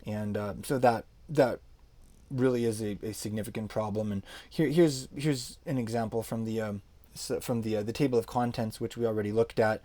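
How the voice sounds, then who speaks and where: Mellow-voiced man teaching informally. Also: lowish voice, fairly even intonation, moderate pace. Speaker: Hebrew language teacher. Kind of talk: informal explanatory lecture, reviewing a book.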